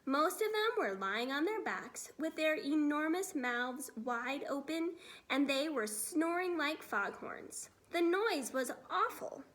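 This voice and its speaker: A woman reading a children's story aloud as expressive narration, in the role of a teacher. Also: high-pitched, takes it slowly.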